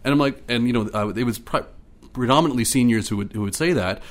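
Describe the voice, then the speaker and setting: A man's voice: mid-range voice, fast talker. A Canadian man speaking as an interview guest, talkative and good-natured, telling things in an anecdotal, conversational way. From Ottawa, Canada.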